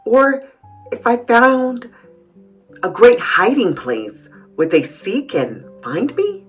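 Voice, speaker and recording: Storybook role: earnest, worry-prone little boy voiced by a woman, wondering delivery, expressive pitch, music underneath. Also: takes it slowly. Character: worried young boy pondering his thoughts, earnest. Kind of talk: storybook read-aloud.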